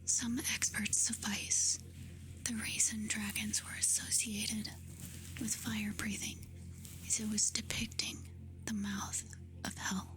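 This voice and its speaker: A woman doing soft-spoken ASMR, reading facts aloud in a hushed, soothing, breathy whisper. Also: medium pitch, little pitch movement, low-quality recording. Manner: slow, hushed, soothing delivery with short phrases. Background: music.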